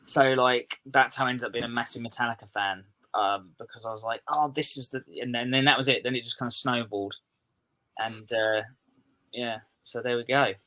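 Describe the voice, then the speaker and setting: A man's voice: mid-range voice, steady tone, brisk, pushed and bright, low-quality recording. A man from the southeast of England with an English accent, chatting as a guest and rambling with lots of fillers. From Kent, southeast England. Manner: rambling, with many fillers and restarts. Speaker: musician guest. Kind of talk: casual chat.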